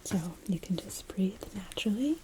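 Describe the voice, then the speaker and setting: A woman whispering softly and breathily in an ASMR medical exam roleplay, playing a gentle, unhurried, reassuring nurse. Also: medium pitch, lively intonation, a little hiss or hum. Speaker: ASMR performer role-playing a night nurse.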